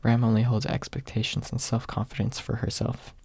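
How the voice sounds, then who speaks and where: A man voicing a haughty, blunt young maid in an audiobook, with a husky, gravelly voice and a dry, dismissive manner. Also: mid-range voice, level tone, a little fast, darkish tone, no echo. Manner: dry, dismissive, refers to self in third person. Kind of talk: ASMR audiobook character reading.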